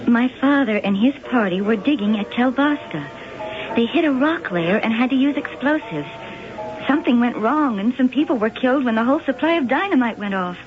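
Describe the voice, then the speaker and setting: An actress playing an earnest young woman, an archaeologist's daughter, in an expressive radio-drama style. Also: fairly high pitch, brisk, music in the background, close to an everyday voice. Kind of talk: old-time radio drama acting. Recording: dull old radio drama recording.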